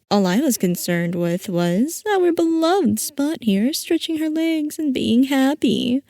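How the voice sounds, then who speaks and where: Woman playing a slow-talking, playful villainess scientist. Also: fairly high pitch, dark tone, dry recording, clean studio sound. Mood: mock-innocent. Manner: coy, drawn-out, mock-innocent delivery. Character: playful, theatrical female mad-scientist villain boss, teasing and smug. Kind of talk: scripted roleplay audio, ASMR-style character acting.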